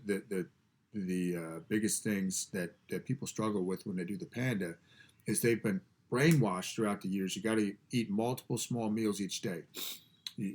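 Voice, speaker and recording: Stuffed-up, nasal man talking low. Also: darkish tone. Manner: emphatic, repeats key phrases, frequent restarts. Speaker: podcast host and fasting coach. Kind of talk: casual motivational talk. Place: home garage studio.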